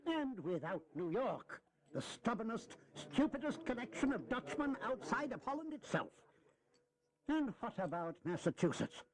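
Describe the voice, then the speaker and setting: A man's voice: very high-pitched, sing-song, moderate pace, rough recording. A man playing a jovial, witty statesman in his seventies in a history audio drama, with a teasing, jocular manner. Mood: mock indignant. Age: 70s. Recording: crowd noise behind.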